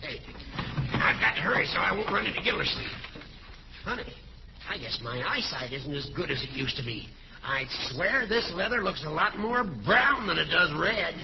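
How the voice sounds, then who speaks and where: A man playing a chummy, secretive older judge with a creaky voice in a 1940s radio comedy. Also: high-pitched, sing-song, normal speed, exaggerated voice. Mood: fussy, secretive. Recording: dull, noisy old-time radio recording.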